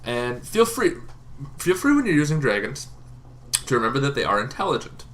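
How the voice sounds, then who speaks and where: A man hosting a tabletop gaming channel, explaining casually off the cuff in an informal, halting way, as if thinking aloud. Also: mid-range voice, big pitch swings, a bit bright. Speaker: host of a tabletop roleplaying lore channel. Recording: noticeable background noise.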